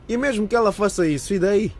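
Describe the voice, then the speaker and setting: A boastful young man in a radio play, voiced by an actor sneers offhandedly in a lightly high male voice. Faint ambient noise with vehicles sits behind him. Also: quick pace, a faint crowd, lightly acted. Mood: smug, mocking. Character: smug, scornful young male student, boastful and dismissive. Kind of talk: radio drama acting.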